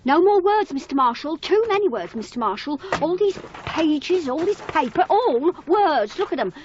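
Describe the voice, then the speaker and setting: An exasperated female secretary in a radio play, scolding and emphatic. Her pitch is high and swoops widely. The recording is dull with a faint noise. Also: very animated, normal speed. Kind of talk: 1980s radio drama acting.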